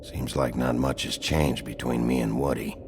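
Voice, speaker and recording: A man playing a gruff, brooding, protective sheriff with a gravelly voice in a noir video game. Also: extremely low pitch, little pitch movement, normal speed, background noise.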